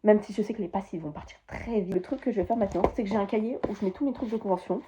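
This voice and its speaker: A woman's voice: mid-range voice, rapid-fire, background noise. A teenage girl vlogging from her bedroom, chatting casually and enthusiastically about her souvenirs, rambling as she goes. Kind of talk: casual chat to camera.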